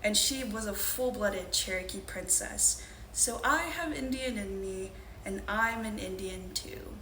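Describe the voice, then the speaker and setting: A woman's voice: medium pitch, a little slow, pushed and bright, a little hiss or hum. A young woman from Louisiana, speaking earnestly as she introduces and reads her own poetry. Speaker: poet. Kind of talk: poetry reading with spoken introductions. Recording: roomy echo with faint ambience.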